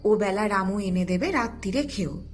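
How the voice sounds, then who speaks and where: A woman voicing a busy, scolding mother of an old-time household in an audio story, expressive and homely. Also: medium pitch, normal speed, faint music behind, lightly acted. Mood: dismissive, placating. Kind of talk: acted character voice in audio storytelling.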